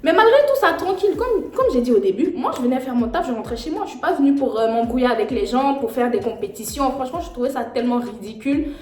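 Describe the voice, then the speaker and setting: A young woman vlogger telling a storytime in an animated, slangy way. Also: sits high, fast, hardly any pauses. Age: young adult. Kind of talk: storytime vlog. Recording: echoey room with faint music.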